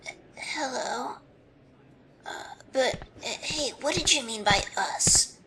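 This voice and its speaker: A woman's voice: sits high, slow, sharp tone, full character voice, rough recording. A woman voicing a hesitant, earnest young male fox in a fan audio drama.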